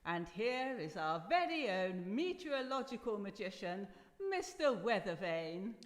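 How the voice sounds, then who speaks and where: A woman's voice: medium pitch, big pitch swings, unhurried. A woman playing an effusive, genteel old-time radio announcer, presenting in a grandly formal, arch and theatrical manner. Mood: genial, formal. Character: effusive 1930s-style wireless announcer hostess, theatrical and genteel. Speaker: radio show host. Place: live performance in a university hall. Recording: echoey hall with long reverb.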